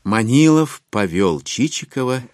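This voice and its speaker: A man narrating a classic literary tale in an audio drama, with a wry, expressive delivery. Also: medium pitch, a little slow, dry recording, studio quality. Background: music.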